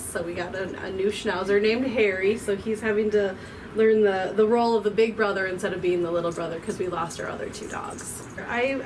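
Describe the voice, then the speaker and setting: A middle-aged woman answering interview questions about her dog, rambling with fillers. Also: mid-range voice. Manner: warm-hearted, rambling with fillers. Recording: distant mic with clear background noise.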